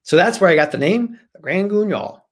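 Man chatting in an animated, joking way. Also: high-pitched, brisk. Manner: animated, joking, slangy, acts out imagined remarks. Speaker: guest author. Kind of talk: casual chat interview. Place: small room at home, on a video call.

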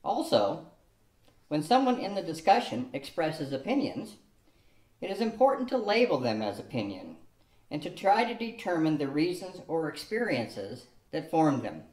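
A man's voice: fairly high pitch, very animated, normal speed, darkish tone. An older man playing Elmer, a patient, reasoned husband, reading carefully from a script in an amateur radio play. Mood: explaining. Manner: reads carefully from a script, lecturing tone. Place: studio room with a cloth backdrop. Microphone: stand mic on a tripod. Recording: echoey room.

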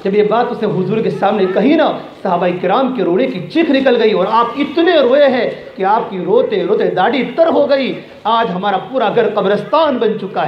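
Male preacher, high voice, emphatic religious storytelling with Arabic quotations, dull lo-fi recording. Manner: emphatic, repeats phrases for effect. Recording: echoey, dull lo-fi, faint noise.

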